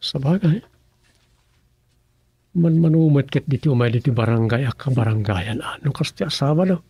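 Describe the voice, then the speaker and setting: A man with an Ilocano Filipino accent playing a musing, grumbling local man in a radio comedy drama, his voice husky and gravelly. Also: mid-range voice, lively intonation, moderate pace, warm tone, no echo. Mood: muttering.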